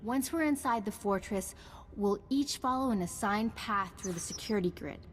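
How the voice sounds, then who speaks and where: A woman voicing a calm, courteous female Jedi ally in a video game, precise and formal. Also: medium pitch, moderate pace, slight background noise, lightly acted. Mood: composed. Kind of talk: video game cutscene voice acting. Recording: echoey hall, music behind.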